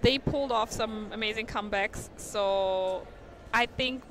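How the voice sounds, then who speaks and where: A woman's voice: a little high, a little slow. A woman whose first language is not English commentates a live dodgeball match in a chatty, thinking-aloud manner, sometimes stumbling over names, in an indoor sports hall. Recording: noisy sports hall ambience. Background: bouncing balls and hall noise.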